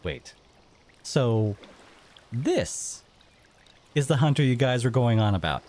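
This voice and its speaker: Guy doing curious merman, laid back n hesitant, real up and down intonation, slow talker, audio drama. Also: medium pitch, expressive, darkish tone. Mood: puzzled. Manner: casual, hesitant, questioning. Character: curious, casual merfolk monster, a bit skeptical. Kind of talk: scripted audio drama acting.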